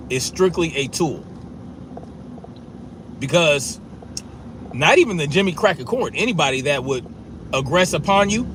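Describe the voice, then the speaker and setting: Man, fairly high voice, stutters, blunt and profane; noisy outdoor recording. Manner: forceful, profane, repetitive, with a stutter. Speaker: commentator. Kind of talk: blunt opinion monologue.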